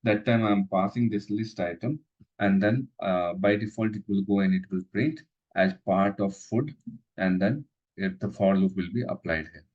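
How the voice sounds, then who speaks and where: A man teaching programming, pausing often and repeating phrases with many fillers. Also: on the low side, muffled recording. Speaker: instructor. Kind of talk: live coding lesson walkthrough.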